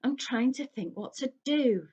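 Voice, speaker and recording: Brief: excitable middle-aged wizard dad, frantic and eager, pitched up high, read by a woman. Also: a little slow, husky, muffled recording, full character voice. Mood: vague.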